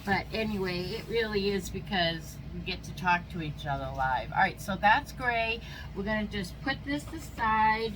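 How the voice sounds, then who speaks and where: A woman with a stuffy nose and a slightly squeaky voice, chatting casually as she narrates each step of a craft project. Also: animated, normal speed, background noise. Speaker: craft channel host. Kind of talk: casual how-to crafting chat.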